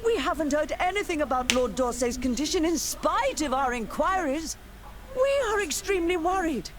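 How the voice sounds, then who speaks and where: A woman's voice: high voice, expressive, normal speed, noisy background. An actress playing a haughty aristocratic lady in a video game, speaking in a formal, imperious manner. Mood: indignant. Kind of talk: video game dialogue acting.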